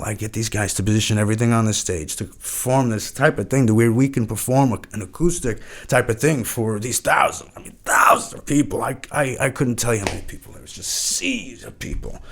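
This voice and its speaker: A man's voice: medium pitch, a little fast, gravelly. An actor playing a washed-up eighties rock frontman, rambling and repeating himself nostalgically and grandly in a mock podcast interview. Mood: excited, nostalgic.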